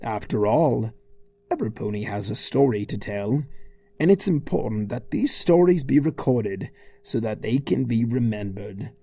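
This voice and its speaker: Male story narrator: arch, theatrical, very lively intonation, gravelly creak, scripted comic delivery. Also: mid-range voice, normal speed, no echo. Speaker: fan fiction reader.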